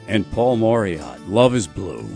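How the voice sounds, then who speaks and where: A man's voice: lowish voice, very animated, unhurried, warm tone, soft background music. A man reading a scripted promotional announcement for radio.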